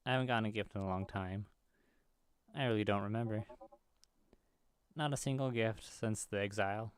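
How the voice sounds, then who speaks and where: A man's voice: on the low side, moderate pace, clean tone, no echo. A man hosting a gaming video, reading visual novel dialogue aloud and now and then stumbling over the words.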